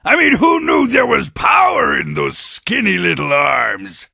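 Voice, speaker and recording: A male actor playing a tough, cocky warrior creature in a video game, gravelly and raspy, with a taunting, brash manner. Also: medium pitch, wildly swooping pitch, a little slow, sharp tone, no room sound, shouting, clean studio sound, heavily acted. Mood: mocking. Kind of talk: scripted game cutscene dialogue.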